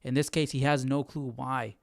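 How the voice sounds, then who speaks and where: Smooth-voiced man chatting informally. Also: mid-range voice, level tone, dry recording, clean studio sound. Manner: informal, reflective, halting, thinking aloud. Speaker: host of a live Bible study. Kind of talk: casual chat, commentary on scripture.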